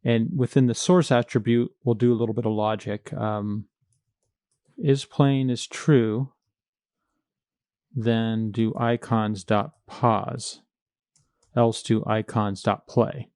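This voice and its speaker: Male coding instructor, mid-pitched with noticeable vocal fry, informal and hands-on, close dry sound. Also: fairly even intonation, slow pace, long pauses, a little mellow, no room sound. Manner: informal, thinking aloud while working. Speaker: programming tutorial instructor. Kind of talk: step-by-step coding screencast narration.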